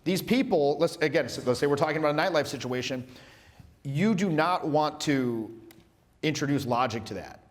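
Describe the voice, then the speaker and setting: A man coaching a room on social skills, his voice sitting a little high for a man. He talks in a loose, playful way, stacking fillers and quick restarts. His words ring out with a long reverb, like a big hall. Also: lively intonation. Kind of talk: energetic live workshop teaching.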